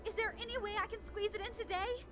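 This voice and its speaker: A man's voice: extremely high pitch, steady tone, quick pace, over music, pitched above the performer's own voice. A man voicing a bubbly, pushy young woman reporter in a visual novel, with a breezy, gushing, theatrical delivery. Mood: flustered. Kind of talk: visual novel character voice read-aloud.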